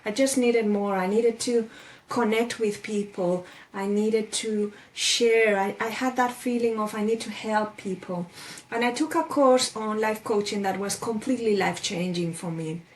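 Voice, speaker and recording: A woman's voice: mid-range voice, normal speed. A woman in her forties from Spain with a Spanish accent, talking thoughtfully as a guest life coach over a video call, with fillers and restarts. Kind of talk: casual interview chat.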